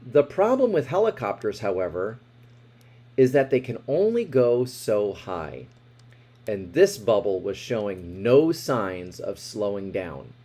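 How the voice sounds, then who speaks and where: A man reads a picture book aloud with lots of expression and big swings in pitch. His voice is soft-edged and a bit mellow, with some huskiness, and he takes his time over the story, leaning on words for drama. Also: a little slow. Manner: expressive, dramatic emphasis with asides about the pictures. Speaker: storybook reader. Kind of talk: animated read-aloud of a children's picture book.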